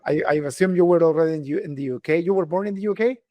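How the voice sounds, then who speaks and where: A man's voice: on the high side, very fast, no echo, muffled recording. A man from North America hosting an interview podcast, friendly and curious, with frequent restarts. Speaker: podcast host. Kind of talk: casual interview chat.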